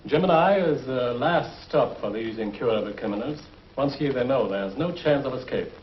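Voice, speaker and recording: Grave, matter-of-fact warden played by an actor, echoey muffled 1950s TV sound. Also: male voice, mid-range voice, animated, moderate pace, a little hiss or hum, lightly acted. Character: stern male prison warden. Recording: roomy, lo-fi 1950s TV audio.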